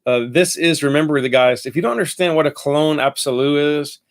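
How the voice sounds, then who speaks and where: A man's voice: fairly high pitch, brisk. A man with a nasal voice, congested from a head cold, chatting through a casual fragrance review in a rambling, self-correcting way.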